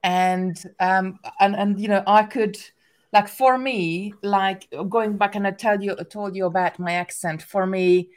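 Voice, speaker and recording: A woman speaks English with a strong Hungarian accent, her first language showing in the grammar. She rambles casually in a mid-pitched voice, full of restarts and small laughs, as a guest on a chat show. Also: steady tone, a little slow. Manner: chatty, many restarts and "like" fillers, laughs often. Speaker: guest, craft business owner.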